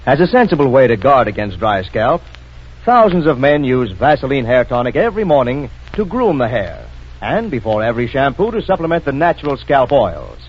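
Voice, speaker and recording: A man's voice: mid-range voice, big pitch swings, brisk, slightly rough. A man working as a radio announcer, reading scripted narration in a 1940s style. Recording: dull vintage radio recording.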